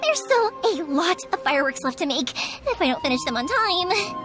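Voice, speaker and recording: A woman's voice: very high voice, big pitch swings, fairly quick, over music. A woman playing a cheerful, eager young fireworks maker in a video game, chatty and earnest. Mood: eager, coaxing. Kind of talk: animated video game voice acting.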